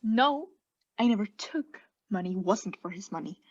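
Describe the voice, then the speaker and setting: A woman playing a mad condemned murderess in a radio play, intense and halting, often sinking into breathy hushed tones as she insists she is sane. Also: mid-range voice, lively intonation, muffled recording, lightly acted. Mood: haunted. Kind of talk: theatrical radio play monologue.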